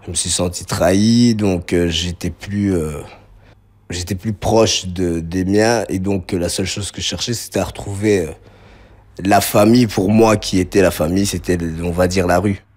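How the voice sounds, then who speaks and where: Deep-voiced man telling his story. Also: fairly even intonation, a little mellow. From Belgium, grew up around Liège. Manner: reflective, halting, repeats words as he searches. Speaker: interview subject telling his life story. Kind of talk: personal testimony, spontaneous storytelling. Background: music under the voice.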